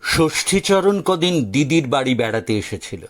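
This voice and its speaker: A man narrating a scripted audio story dramatically, with theatrical, suspenseful pauses. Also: medium pitch, big pitch swings.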